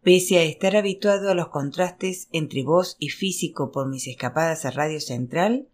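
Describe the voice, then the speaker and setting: Woman, lower-pitched voice, audiobook narration, expressive storytelling, literary prose. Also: normal speed. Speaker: audiobook reader.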